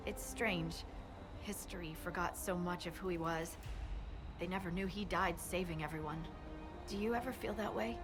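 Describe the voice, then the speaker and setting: An actress playing a cheerful, modest scout in a fantasy video game, speaking informally with wry friendliness. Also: female voice, lowish voice, normal speed, over music, close to an everyday voice. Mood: earnest, reflective. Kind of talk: video game dialogue acting.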